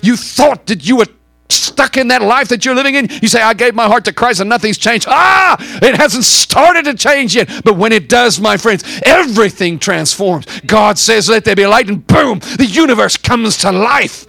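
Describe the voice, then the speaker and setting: A man preaches in a high-set voice with a gravelly edge, recorded very dry and close. His delivery is impassioned and theatrical, built on emphatic repetition. He slips into quoted dialogue as he drives the sermon along. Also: expressive, moderate pace, pushed and bright, dry recording. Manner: dramatic, exclamatory, repeats phrases for emphasis; acts out imagined dialogue between God and believer.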